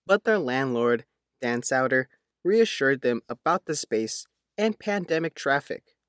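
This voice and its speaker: A man's voice: medium pitch, expressive, unhurried, a bit bright, dry recording. A man reading a written local news feature in a scripted narration style.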